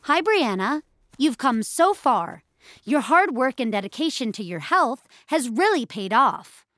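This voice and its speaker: A woman's voice: high-pitched, big pitch swings, measured pace, fairly bright tone, no room sound, studio quality, full character voice. A woman voicing a cheery, coaxing, encouraging fitness app assistant in an audio drama. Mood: upbeat praise. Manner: perky, encouraging, scripted.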